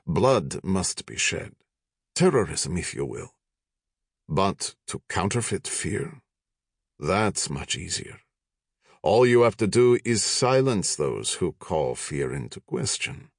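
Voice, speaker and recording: Man voicing a cold, deep-voiced arrogant army major. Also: vocal fry, no echo, clean studio sound, exaggerated voice.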